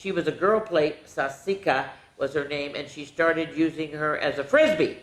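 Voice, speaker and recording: A woman hosting a children's story time, reading a storybook aloud in an animated, playful way with dramatic asides to the young listeners. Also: deep voice, normal speed. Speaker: children's storyteller, show host. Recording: roomy, echoing indoor sound.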